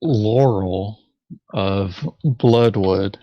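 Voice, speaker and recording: A man's voice: on the low side, very slow, no echo, muffled recording. A man chatting casually as a board game player, giving short, hesitant answers. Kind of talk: casual chat while planning a board game session.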